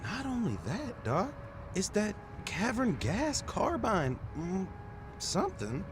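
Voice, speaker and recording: Folksy country constable, rural american drawl, high lively voice, noisy old radio recording. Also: male voice, slow pace, exaggerated voice. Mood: folksy, cautioning. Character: folksy, wary small-town male constable. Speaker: actor in a radio mystery. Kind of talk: old-time radio acting.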